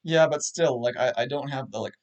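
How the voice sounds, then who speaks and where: Halting, self-mocking young man. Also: mid-range voice, slight background noise. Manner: self-deprecating, halting with many restarts. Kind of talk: casual chat. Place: home setup on a livestream call.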